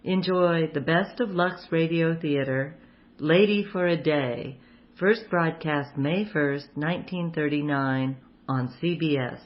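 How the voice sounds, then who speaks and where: A woman's voice: low voice, measured pace, background noise. A woman hosting a radio program, reading a scripted introduction. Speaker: radio show host. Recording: dull lo-fi sound.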